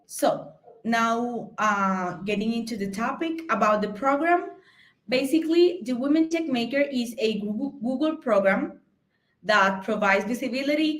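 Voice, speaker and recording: Colombian woman giving a halting talk. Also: a little high, a bit bright, poor audio. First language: Spanish. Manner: halting, many fillers and restarts. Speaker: conference speaker. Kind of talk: informal tech talk.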